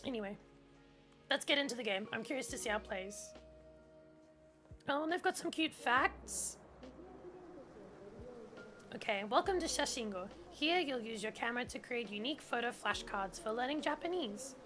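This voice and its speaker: A woman's voice: on the high side, animated, normal speed, long pauses, bright, forceful tone, soft background music. A woman whose first language is German, chatting casually in a gameplay stream and reading on-screen text aloud, with lots of "like". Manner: chatty, heavy use of "like", reads on-screen tutorial text aloud.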